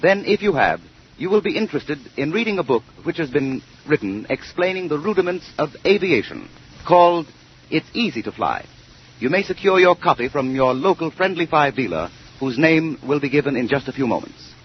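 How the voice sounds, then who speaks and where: Noisy 1930s radio announcer, higher-voiced American man. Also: big pitch swings, brisk. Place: radio studio. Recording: noisy, muffled early 1930s radio broadcast.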